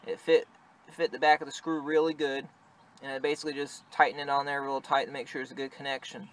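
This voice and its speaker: A man's voice: mid-range voice, little pitch movement, fast talker. A man giving a casual, improvised how-to in a plain-spoken way, busy with his hands as he talks, recorded on a handheld device in a truck. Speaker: amateur video maker giving a how-to. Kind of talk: casual improvised tutorial talk. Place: in and around a pickup truck cab. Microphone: handheld phone or camera close to the work. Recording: noisy handheld recording, vehicle ambience.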